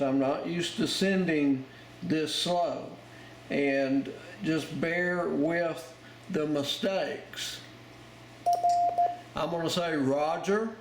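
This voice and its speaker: A man giving an informal hands-on tutorial as an instructor, explaining each step plainly as he goes. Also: a little high. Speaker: amateur radio Morse code instructor. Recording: roomy, very noisy, with clicking and beeps.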